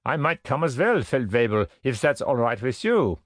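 A man's voice: mid-range voice, sing-song, normal speed, no echo, pitched above the performer's own voice, lightly acted. A man voicing a keen, sardonic German army corporal in an audiobook, deferential but wry. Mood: eager.

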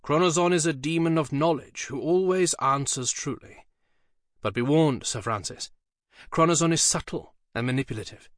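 A male narrator voicing a commanding Elizabethan magician who shouts urgent incantations at a demon. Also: on the high side, normal speed, no room sound, clean studio sound, pitched above the performer's own voice, natural voice. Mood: warning.

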